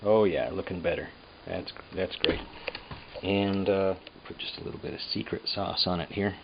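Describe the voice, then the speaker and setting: A man casually explaining guitar repair work in a halting, unscripted, plain-spoken way, as a craftsman hosting his own demonstration. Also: deep voice, steady tone, vocal fry. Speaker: guitar repairer and restorer, host. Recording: dull lo-fi sound with hum and noise.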